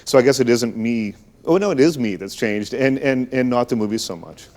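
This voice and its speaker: A man's voice: medium pitch, expressive, a little fast, a little mellow. A man hosting a talk show, chatting casually about films and repeating words as he thinks. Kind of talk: casual film chat.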